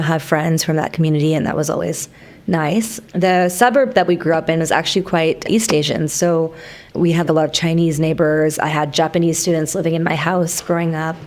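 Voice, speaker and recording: A woman from Canada in her thirties, a guest telling her story in an interview at a thoughtful, measured pace. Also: deep voice, steady tone, darkish tone. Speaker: guest, company founder. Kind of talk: casual interview.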